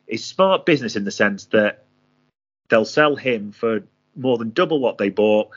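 A low-voiced man co-hosts a football chat show. He speaks in a careful, guarded way and hedges his predictions. The audio is dull, like a remote call. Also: animated, measured pace. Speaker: podcast co-host, transfer pundit. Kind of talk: casual football podcast chat.

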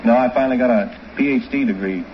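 Middle-aged American male contestant, courteous and measured, medium pitch, dull old broadcast with clear noise. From United States. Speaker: quiz show contestant, university dean. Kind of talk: polite interview answers. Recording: muffled, noisy vintage radio recording.

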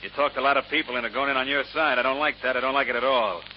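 A man's voice: mid-range voice, fairly even intonation, very fast, lightly acted. An actor playing a brash, taunting hard-boiled gunman with smug swagger in an old-time radio drama. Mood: cold menace. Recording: muffled old radio recording, loud hiss.

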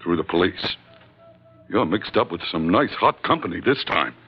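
A man's voice: low-pitched, expressive, moderate pace, husky, soft background music. An actor playing an earnest, blustery sailor, loyal to his skipper, in a vintage radio adventure. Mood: confiding, urgent. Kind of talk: 1940s-50s radio adventure acting. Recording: dull old radio recording.

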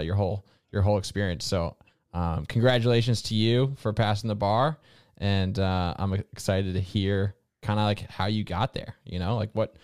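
A man's voice: fairly low pitch, a little mellow, clear, resonant tone, no echo, clean studio sound. A man hosting a podcast, enthusiastic and informal, often saying "dude" and "like" as he interviews his guest. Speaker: podcast host.

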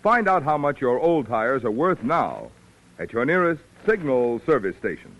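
A man's voice: mid-range voice, big pitch swings, normal speed, muffled recording, noisy background. A man reading a sponsor message as an old-time radio announcer, emphatic and polished. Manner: emphatic, polished sales delivery. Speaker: commercial announcer. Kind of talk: 1940s-50s radio sponsor read.

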